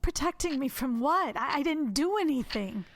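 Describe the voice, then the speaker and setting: A woman's voice: high voice, lively intonation, normal speed, dry recording. An actress playing a haunted, defiant woman who is locked up, in an audio drama. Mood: shaken, bewildered.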